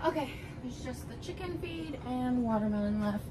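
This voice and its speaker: Woman, forties, higher voice, casual vlog chatter, brief offhand remarks, very noisy recording. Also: animated, moderate pace. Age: 40s. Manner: talks in short, offhand remarks while working. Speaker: family vlogger. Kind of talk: casual narration while doing household chores.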